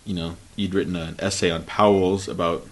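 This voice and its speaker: A man's voice: deep voice, steady tone, fairly quick. A man interviewing an author, asking long, hesitant questions that wind through his thoughts.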